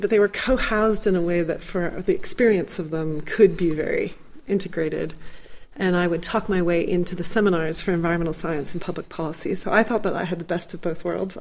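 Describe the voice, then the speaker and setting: A woman's voice: lowish voice, darkish tone. A woman from New England, a guest on a radio interview, talking thoughtfully with many restarts. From New England, United States. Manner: thoughtful, many restarts, quick bursts of animation. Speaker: guest, environmental studies director.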